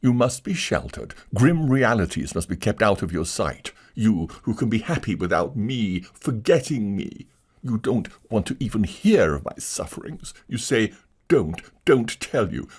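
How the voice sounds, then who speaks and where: Narrator doing a hammy self-pitying tragedian, bitter and proud, husky voice swinging all over. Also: male voice, on the low side, a little mellow, voice pushed up, full character voice. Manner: melodramatic, wounded, declaiming.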